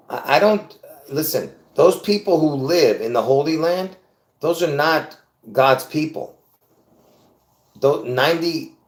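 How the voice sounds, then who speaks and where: A man hosting a casual solo livestream, talking in a rambling, chatty way with frequent laughs and restarts. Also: medium pitch. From born in Lebanon. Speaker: livestream host. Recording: roomy echo.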